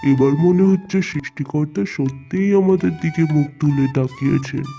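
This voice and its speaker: A man voicing a poor, indebted middle-aged farmer in a cartoon, weary and humble, over loud background music. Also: on the high side, moderate pace, natural voice. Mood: hopeful. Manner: weary, pleading.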